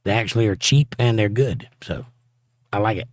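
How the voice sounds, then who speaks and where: A man's voice: on the low side, normal speed, no room sound. Laid-back Southern man reading chat. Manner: laid-back, teasing, chuckles often. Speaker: livestream host reading chat. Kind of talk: casual chat.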